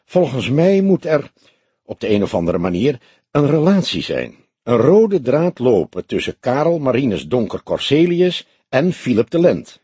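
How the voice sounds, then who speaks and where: Audiobook role: male reader as older police detective, very husky, grave, deliberate, dry wit, close dry sound. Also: medium pitch, sing-song, warm tone, no echo, pitched above the performer's own voice. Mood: pensive. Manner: deliberate, explanatory, dryly humorous. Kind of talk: audiobook character dialogue.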